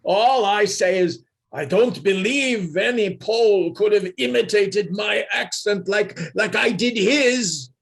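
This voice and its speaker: A man in a radio play reading, acting a sheepish detective posing as an anarchist, halting and flustered. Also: high-pitched, vocal fry, fairly bright tone, husky, full character voice. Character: sheepish detective unmasked as fake Polish anarchist. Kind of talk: radio play read aloud.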